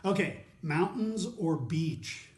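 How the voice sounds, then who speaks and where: A man hosting a casual interview podcast, chatty and upbeat, asking quick questions. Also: fairly high pitch, animated, a little mellow, roomy sound. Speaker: podcast host.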